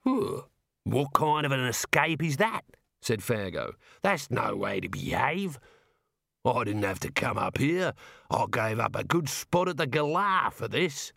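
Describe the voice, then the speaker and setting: A man's voice: medium pitch, sing-song, a little slow, vocal fry, fairly bright tone, dry recording, clean studio sound, heavily acted. A man voicing a shifty, pushy male street hawker, talking in a wheedling sales patter. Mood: aggrieved. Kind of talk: audiobook character reading.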